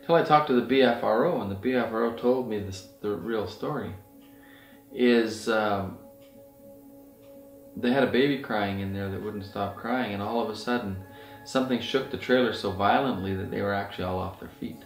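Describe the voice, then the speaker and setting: Middle-aged man, lowish voice, earnest off-the-cuff storyteller, recorded at a distance in a roomy space. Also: moderate pace. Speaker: outdoor outfitter and Sasquatch researcher. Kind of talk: casual rambling anecdotal talk. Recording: roomy echo, distant mic, faint music.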